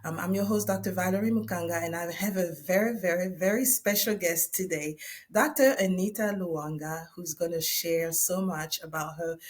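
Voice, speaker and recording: A woman's voice: on the low side, normal speed. A woman hosting a podcast, who interviews her guest in an upbeat, encouraging way. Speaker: podcast host.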